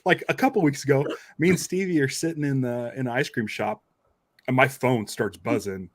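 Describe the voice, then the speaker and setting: A man's voice: medium pitch, fairly quick. A man hosting a podcast in casual, informal chat, telling things loosely and conversationally. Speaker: podcast host.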